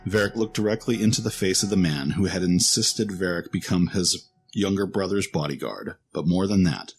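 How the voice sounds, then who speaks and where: A man's voice: deep voice, lively intonation, moderate pace, faint music behind. A man narrating a scripted fantasy story in a dramatic storytelling style.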